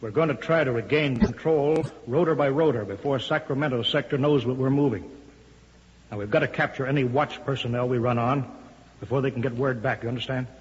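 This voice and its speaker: Chief engineer, male actor, medium pitch, urgent clipped authority, vintage radio acting, muffled recording. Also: breathy edge, lightly acted. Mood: grim, commanding. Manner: brisk, clipped, commanding. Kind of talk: 1950s radio drama acting.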